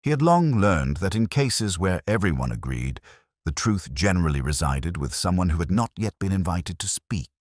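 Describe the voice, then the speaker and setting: A man narrating a mystery story in a measured, expressive way. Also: sits low, no echo, studio quality.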